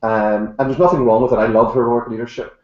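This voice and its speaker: A man's voice: mid-range voice, fairly even intonation, fast talker. An older man with an Irish accent, a guest author talking in an online panel with dry, self-mocking humor and frequent "uh" fillers. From Ireland. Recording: echoey room, dull lo-fi sound.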